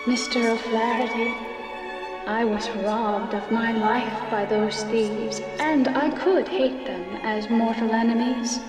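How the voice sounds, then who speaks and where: A solemn gentle ghost. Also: female voice, a little high, steady tone, slow. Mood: pleading. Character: ghost of a murdered gentle woman. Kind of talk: 1990s game cutscene acting. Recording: distant echoey hall with music.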